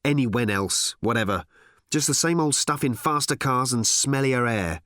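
A man's voice: mid-range voice, expressive, normal speed, fairly bright tone, dry recording, clean studio sound, close to an everyday voice. A man voicing a brash, rattled male writer in an audiobook, speaking in a snappy, combative, indignant way. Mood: irritated, dismissive.

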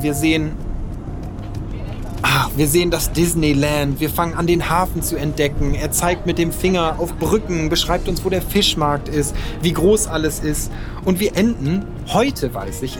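Male narrator, thirties, from Lower Saxony, slightly high voice; candid, self-mocking storyteller over faint music. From Lower Saxony, Germany. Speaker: podcast narrator. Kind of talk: personal storytelling.

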